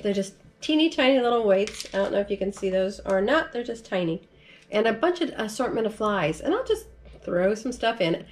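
Chatty middle-aged American woman, folksy. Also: mid-range voice. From United States. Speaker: vlogger. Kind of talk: casual product demonstration. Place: large furnished room at home, indoors.

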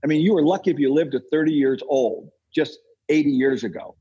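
A man's voice: fairly high pitch, a little fast, warm tone, slightly rough, muffled recording. An American man giving opinionated interview answers as a guest, insistent and emphatic, repeating phrases for effect. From United States. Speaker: podcast guest, entrepreneur and author.

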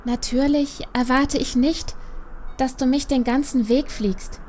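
Man voicing a high-pitched, headstrong young panda girl. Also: steady tone, a little slow, a little mellow, slight background noise. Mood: cheeky, insistent. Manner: impatient, defiant. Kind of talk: audiobook character voice.

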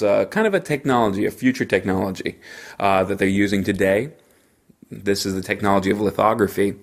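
A man's voice: low-pitched, gravelly. A man hosting a solo podcast from a hotel room, with a stuffy head cold and a rambling delivery full of fillers and restarts. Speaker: podcast host. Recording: slightly echoey hotel room.

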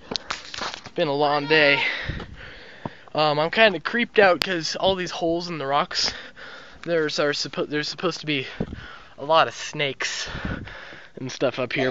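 A man's voice: a little high, a little slow, long pauses. A teenage boy chatting casually for an amateur vlog, rambling and slangy, into a handheld phone. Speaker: amateur vlogger. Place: outdoors among rocks, later inside a car. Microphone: handheld phone at arm's length. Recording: dull phone audio with loud outdoor and car noise.

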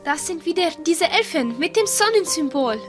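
A woman's voice: very high-pitched, expressive, normal speed, over music. A woman playing an uneasy, questioning young adventurer in a fantasy audio drama. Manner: questioning, doubtful.

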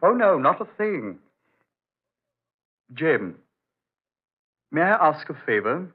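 An actor playing a troubled, evasive gentleman doctor in a 1940s-style radio horror drama, courteous and genial but guarded. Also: on the high side, sing-song, slow pace, slightly rough, full character voice. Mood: hesitant, guarded. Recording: thin, phone-like old radio recording.

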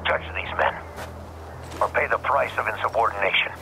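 An actor playing a cold, commanding colonel who speaks in a measured, menacing, formal way over a radio in a war game. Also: male voice, lowish voice, gravelly. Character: cold, commanding colonel, mocking judge of men. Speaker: video game actor, antagonist. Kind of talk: military shooter game acting. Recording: noisy radio transmission with battle ambience.

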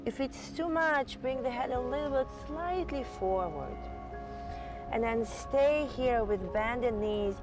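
A woman teaching yoga, giving slow, soft step-by-step cues on breath and stretching, with a voice. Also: a little high, low-quality recording. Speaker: yoga instructor. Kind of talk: guided yoga instruction. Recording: voice over loud music.

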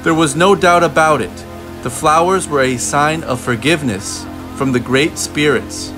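A man's voice: fairly high pitch, normal speed, poor audio. Male storybook narrator, music behind. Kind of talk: scripted read-aloud narration of a children's picture book.

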